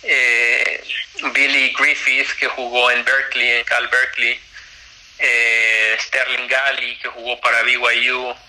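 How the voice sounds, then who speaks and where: Young man with a bright voice. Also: medium pitch, level tone, slow, rough recording. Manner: rambling, many fillers and restarts. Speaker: guest, former college tennis player. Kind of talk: casual interview talk.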